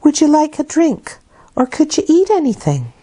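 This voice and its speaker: A woman voicing a young, soft-spoken nursemaid in a storybook reading, anxious and fussing over her charge. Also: high-pitched, huge pitch swings, moderate pace, voice pushed up. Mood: soft, solicitous.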